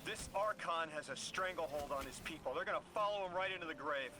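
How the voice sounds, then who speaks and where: A man's voice: on the high side, fast talker, natural voice. A man playing an earnest, mild-mannered guide in a video game, speaking thoughtfully and a little hesitantly. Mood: concerned. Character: earnest, mild male radio guide, sympathetic to aliens. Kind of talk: scripted video game dialogue. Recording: clear background noise of game ambience.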